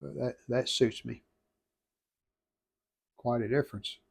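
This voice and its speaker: A man's voice: mid-range voice, steady tone, slow, vocal fry, husky. A man narrating a hands-on restoration casually, thinking aloud in short, plainspoken phrases. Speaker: restoration video host.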